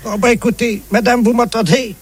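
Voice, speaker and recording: A man's voice: very high voice, steady tone, brisk. A man playing a stubborn, nonchalant male neighbour in a comic phone prank, speaking matter-of-factly. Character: stubborn, absurd male neighbour grilling food on rooftop. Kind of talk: prank phone call comedy.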